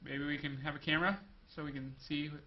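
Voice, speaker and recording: A man's voice: fairly high pitch, flat delivery, fast talker, muffled recording. A young man hosting a casual interview show, rambling loosely and joking between questions. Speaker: host.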